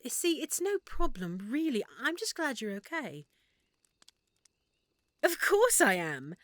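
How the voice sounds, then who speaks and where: A woman playing an affectionate, excitable half-elf shopkeeper in a roleplay audio drama, speaking expressively and warmly to the listener. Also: a little high, dry recording. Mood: tender, sincere. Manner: expressive, warm, reacting with feeling.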